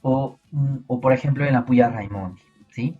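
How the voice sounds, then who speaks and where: A Peruvian man lectures his students in a slightly husky voice, walking them through a lesson and tossing out questions. The recording sounds dull and lo-fi, with faint music underneath. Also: mid-range voice, moderate pace, dark tone. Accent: Peruvian Spanish. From Peru. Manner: explanatory, asks rhetorical questions to students. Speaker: biology teacher. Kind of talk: online class lecture.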